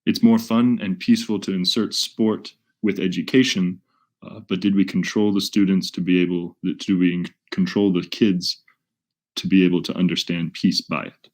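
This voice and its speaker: Very low-voiced man leading a webinar. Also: fairly even intonation, normal speed. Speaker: webinar facilitator. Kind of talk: unscripted webinar teaching, posing questions to participants.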